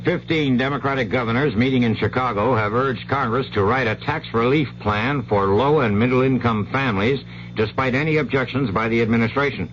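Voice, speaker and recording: A man's voice: mid-range voice, brisk, muffled recording, background noise. An American man reading a scripted radio newscast as anchor on an old 1970s broadcast. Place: radio studio.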